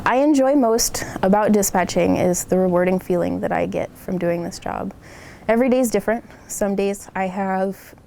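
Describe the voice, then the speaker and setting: A woman's voice: medium pitch, dark tone, a little hiss or hum. An American woman working as an emergency dispatcher, speaking in an earnest, explanatory way as in an interview about her job.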